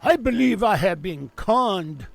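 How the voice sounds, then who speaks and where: Brief: a man as a prim sarcastic clerk type, high and sing-song. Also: very animated, slow pace, creaky, no room sound, exaggerated voice. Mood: sniffy. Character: fussy prim male newsroom colleague.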